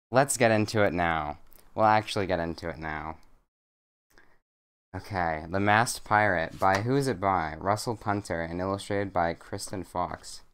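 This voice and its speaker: A young man streaming, chatting casually and reading a bedtime story aloud in a deadpan, monotone way with dry jokes. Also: low-pitched, clear, resonant tone. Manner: self-described monotone, deadpan and joking.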